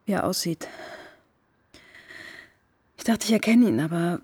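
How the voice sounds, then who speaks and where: An actress playing a guarded, secretive woman with a hard past in a naturalistic radio drama, terse and halting, with a husky voice. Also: on the low side, animated, darkish tone, no echo. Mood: hesitant, confiding. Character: guarded, secretive woman on the run, scarred mother.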